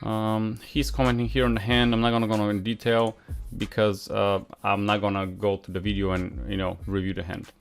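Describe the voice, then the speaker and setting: A man's voice: fairly low pitch, flat delivery, moderate pace, vocal fry. Blunt Bulgarian man with faint music. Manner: frank, many "uh" fillers, blunt asides. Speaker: poker coach. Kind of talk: casual Q&A monologue.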